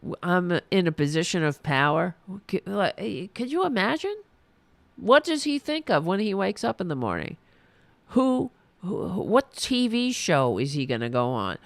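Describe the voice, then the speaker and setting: A woman's voice: on the low side, very animated, moderate pace, gravelly, dry recording. A woman, hosting a political podcast, ranting in a heated, profane, sarcastic way while losing her voice. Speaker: podcast host. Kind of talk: angry political rant.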